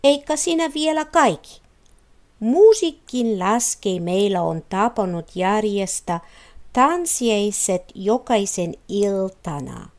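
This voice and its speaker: A woman's voice: medium pitch, expressive, a little mellow, close to an everyday voice. A woman voicing an eager, chatty talking flower in a storybook, keenly explaining things. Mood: enthusiastic. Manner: keen, explanatory.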